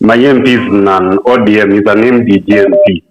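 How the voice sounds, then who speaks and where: A Kenyan man calling a radio show and arguing his point in quick bursts with many fillers. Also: lowish voice, steady tone, dull audio, heavy background noise. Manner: argumentative, rapid bursts, frequent fillers. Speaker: radio caller. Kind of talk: call-in opinion. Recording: echoey speakerphone line.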